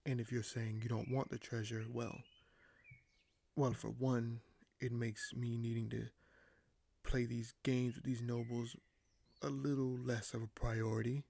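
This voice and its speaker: Male actor as young king, scheming, courteous, even low-key delivery, gravelly fry, formal hesitant phrasing, fantasy audio roleplay. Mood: earnest, probing. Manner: measured, hesitant, formal speech with deliberate pauses. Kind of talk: audio roleplay acting, addressed to the listener.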